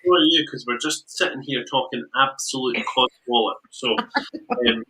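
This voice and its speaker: Bright-voiced Scottish male host. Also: mid-range voice, moderate pace, poor audio. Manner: chatty, teasing, jokey. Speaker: podcast host. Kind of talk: casual chat.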